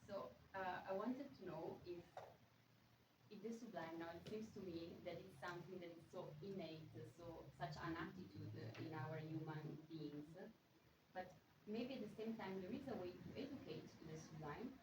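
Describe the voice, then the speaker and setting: A woman's voice: fairly low pitch, measured pace. Female audience questioner, non-native English, roomy and noisy capture. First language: Spanish. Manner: polite, searching for words. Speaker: audience member asking a question. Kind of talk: academic question. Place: seminar room. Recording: roomy, distant mic, noisy.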